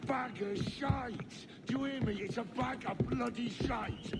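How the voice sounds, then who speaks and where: An actor playing a foul-mouthed, suspicious, belligerent pirate with an aggressive manner in a video game cutscene. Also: male voice, extremely high pitch, a little slow, loud music behind the voice. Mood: angry. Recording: loud background noise.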